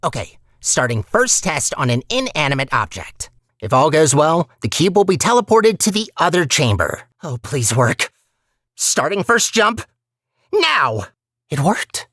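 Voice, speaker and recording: An actor voicing a talkative, eager and sardonic robot companion in a video game, with casual, expressive delivery. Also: medium pitch, measured pace, no echo, studio quality. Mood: anxious, hopeful.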